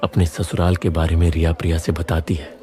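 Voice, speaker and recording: A man's voice: deep voice, completely flat delivery, fairly quick, mellow, soft background music. A man reading scripted narration for a moral story as its narrator.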